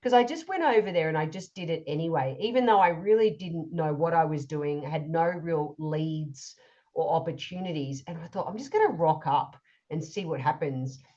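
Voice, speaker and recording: A woman in her thirties with a husky voice, telling her story with quick enthusiasm as co-host of a casual podcast. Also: deep voice, warm tone. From Australia. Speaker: co-host and author. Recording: dull lo-fi audio.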